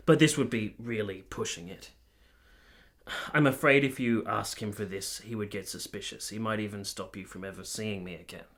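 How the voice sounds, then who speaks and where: An actor playing a blunt but soft-hearted nineteenth-century gentleman in a radio drama, with formal phrasing. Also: on the low side. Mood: resigned, measured. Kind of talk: period radio drama acting.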